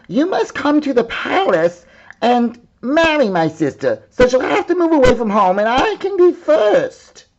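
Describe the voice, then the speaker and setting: Man putting on a high-pitched bratty little princess. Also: huge pitch swings, unhurried, lo-fi sound, exaggerated voice. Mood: demanding. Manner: demanding, imperious. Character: bratty, jealous, bossy little princess girl. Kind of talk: storybook read-aloud character voice.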